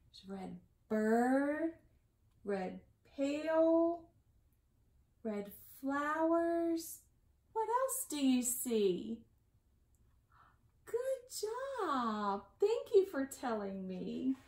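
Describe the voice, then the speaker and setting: A high-voiced woman in her forties reads a picture book aloud for little kids in an echoey room. She goes very slowly, with sing-song delivery and stretched, emphasized key words. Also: sing-song, darkish tone. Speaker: library storytime reader. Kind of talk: picture-book read-aloud for young children. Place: small indoor room at home. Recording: echoey room, slightly distant mic.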